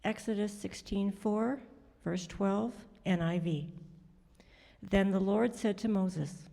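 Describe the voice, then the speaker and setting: A woman from the congregation reading a scripture passage aloud, steady and clear. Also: medium pitch, slow pace. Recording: church hall reverb.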